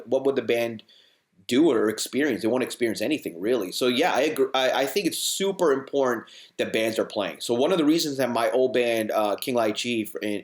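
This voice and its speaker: Man, 40s, from Hong Kong, rambling guest, mid-pitched, echoey room. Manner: rambling, lots of "you know" and restarts. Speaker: guest, hardcore band frontman. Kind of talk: casual interview chat. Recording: roomy echo.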